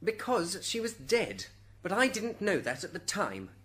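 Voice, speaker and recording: A man's voice: sits high, wildly swooping pitch, a little slow, sharp tone, exaggerated voice. A man playing an aggrieved dead husband giving witness testimony in an amateur radio drama. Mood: resentful.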